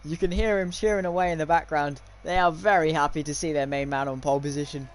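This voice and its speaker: High-pitched young man commentating on sim racing. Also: fairly even intonation, fast, slight background noise. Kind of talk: live esports race commentary with casual chat.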